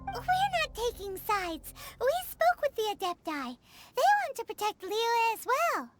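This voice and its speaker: A woman voicing a tiny, childlike, excitable fairy girl companion in a video game, squeaky and cutesy. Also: a little slow, heavily acted. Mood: polite, earnest. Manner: cutesy, exclamatory, refers to herself in third person. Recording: loud music behind the voice.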